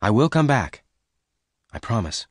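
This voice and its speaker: A man voicing a strong young cowboy in an audiobook, gentle and devoted, speaking in a measured, earnest way. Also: mid-range voice, very animated, no echo, clean studio sound, pitched above the performer's own voice. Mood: reassuring.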